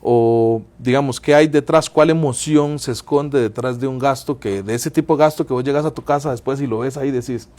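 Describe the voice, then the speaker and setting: Male guest, financial advisor, measured explainer. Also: mid-range voice, a little mellow. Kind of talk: interview answers.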